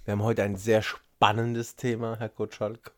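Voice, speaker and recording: A man's voice: lowish voice, little pitch movement, moderate pace. A man co-hosting a casual podcast, joking and laughing often and trailing off mid-sentence. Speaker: podcast co-host. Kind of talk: casual chat.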